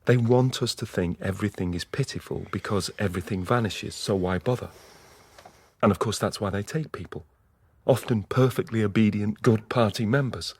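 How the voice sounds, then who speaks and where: Husky, low-voiced haunted man. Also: a little mellow. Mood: bitter, despairing. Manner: slow, halting, brooding. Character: weary, haunted male dissident confiding memories and fears. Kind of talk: intimate radio drama acting.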